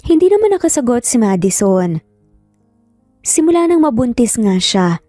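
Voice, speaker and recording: A Filipino woman narrates a romance drama in a high-pitched, mellow voice. She reads from a script as a storyteller. The sound is roomy, with faint music underneath. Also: clean studio sound.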